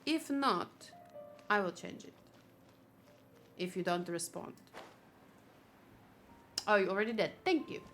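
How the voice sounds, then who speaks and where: A woman chatting casually on a gaming livestream, thinking aloud in an expressive, chatty way. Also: mid-range voice, takes it slowly, long pauses, faint music behind. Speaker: video game streamer. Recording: clear background noise.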